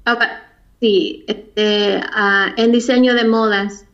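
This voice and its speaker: A woman from Mexico with a Mexican Spanish accent, telling her personal story as an interview guest in a halting, reflective way, often searching for words. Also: mid-range voice, steady tone, a bit bright. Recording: dull lo-fi recording.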